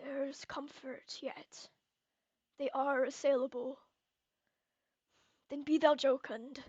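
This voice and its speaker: A young woman acting a brooding, tormented, murder-plotting king in Shakespearean verse, moving between hushed and declamatory delivery. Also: sits high, little pitch movement, takes it slowly, dry recording, dull audio. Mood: brooding, secretive. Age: young adult.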